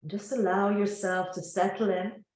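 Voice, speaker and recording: A woman teaching yoga nidra, guiding a relaxation gently and without hurry, with long pauses between phrases. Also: mid-range voice, flat delivery, reverberant, rough recording. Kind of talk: guided relaxation meditation.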